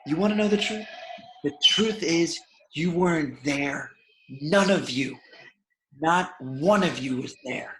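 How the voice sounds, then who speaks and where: A man acts out a wounded, intense villain, bitter and accusing. He speaks slowly in a raised register. The amateur table read sounds echoey, recorded in a home room. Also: a little high, a bit bright, a little hiss or hum. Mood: bitter, taunting.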